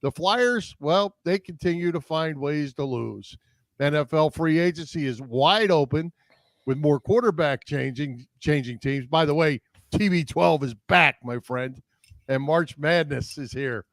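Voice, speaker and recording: A man's voice: on the high side, unhurried, no echo. A man co-hosting a casual sports podcast, chatting about local teams.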